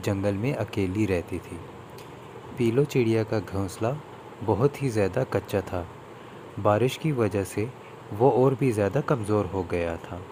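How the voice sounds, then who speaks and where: Male storyteller for a kids' tale, lower register, scripted read over noticeable ambient noise. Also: darkish tone, rough recording. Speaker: narrator. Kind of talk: scripted children's story narration.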